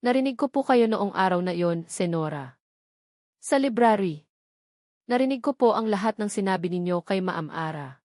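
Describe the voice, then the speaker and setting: A woman's voice: medium pitch, animated, normal speed, darkish tone, no room sound, clean studio sound, natural voice. A woman voicing a humble, loyal former housemaid in a story, speaking in a respectful, earnest manner. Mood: resolute.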